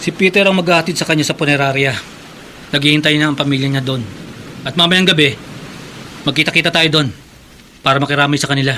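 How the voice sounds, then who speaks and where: A man's voice: a little high, steady tone, quick pace, pauses often, bright, forceful tone, a little hiss or hum. Tense, guilt-ridden Filipino fisherman. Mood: subdued. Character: Paolo, guilt-ridden, suspicious fisherman and sardine maker. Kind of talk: Filipino horror radio drama acting.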